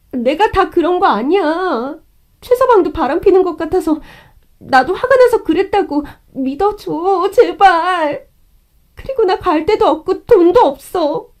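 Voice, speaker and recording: Young manipulative sister-in-law, whiny and pleading, very high voice, played by a woman. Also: darkish tone.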